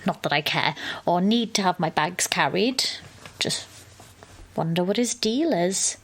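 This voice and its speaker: A woman's voice: on the low side, animated, unhurried. An actress playing an exasperated, wry young woman who narrates an audio drama murder mystery. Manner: wry, sardonic.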